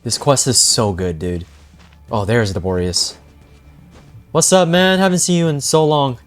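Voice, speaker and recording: A man's voice: medium pitch, a little slow, faint music behind. A young man giving casual, slangy, exclamatory live reaction commentary as a game streamer.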